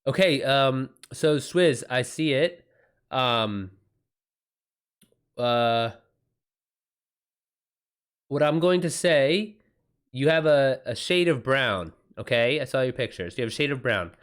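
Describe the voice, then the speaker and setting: A man's voice: mid-range voice, animated, slow pace, pauses often, smooth. Casual, sweary male livestreamer. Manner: informal, sweary, slangy. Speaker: livestream host, author taking writing questions. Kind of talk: casual livestream chat, reading and answering messages.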